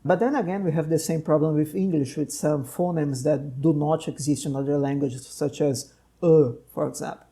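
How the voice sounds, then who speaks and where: A man's voice: on the high side, fairly even intonation, moderate pace, hardly any pauses, warm tone. A man who is a doctor and podcast host, a native Portuguese speaker, talking at length and digressing with friendly laughs. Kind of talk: conversational podcast interview.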